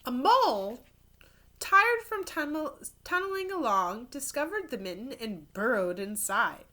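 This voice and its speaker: A woman with a very bright, fairly high voice reads a children's story aloud like a primary school teacher. Her pace is slow and deliberate. She reads expressively and slips into casual asides as if showing pictures to young listeners. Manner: expressive reading, pausing to point out pictures and explain words. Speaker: third grade teacher reading to pupils.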